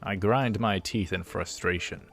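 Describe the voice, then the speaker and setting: Guy with a lowish voice reading out a visual novel, bit halting, soft music behind him. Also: creaky. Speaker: let's play host.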